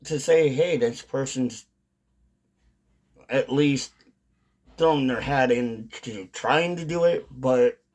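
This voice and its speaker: A man's voice: medium pitch, slow, long pauses, darkish tone. A man talking casually and unscripted as a video creator, rambling and often repeating or rephrasing his points. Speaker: video creator on a dipping tobacco channel. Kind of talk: casual unscripted vlog monologue.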